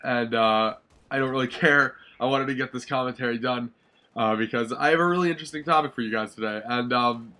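A man's voice: mid-range voice, fairly quick, pushed and bright. A young man with a blocked, nasal voice from a sinus infection, rambling through casual gaming commentary with many ums, swearing and self-corrections. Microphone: voice-over over gameplay footage.

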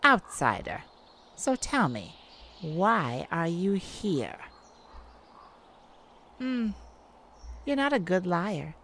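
A wicked female forest nymph toys with her human prey in a spooky ASMR roleplay. She speaks extremely slowly, with teasing menace and big swoops of pitch. Faint ambient noise sits behind the voice. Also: big pitch swings, a bit bright, full character voice. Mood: sly menace. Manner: slow, teasing, menacing, with drawn-out words.

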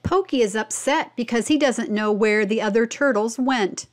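A woman's voice: on the high side, moderate pace. A woman reading a children's storybook and presenting it instructively, expressively asking young listeners questions. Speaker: read-aloud narrator and presenter.

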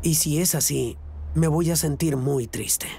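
A man's voice: fairly high pitch, a little slow, creaky, dark tone, breathy edge, heavily acted. An actor with a Latin American Spanish accent dubbing a lovestruck, earnest middle-aged man in a reality show. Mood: uneasy, earnest. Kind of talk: dubbed reality show talk and confessional. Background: background music.

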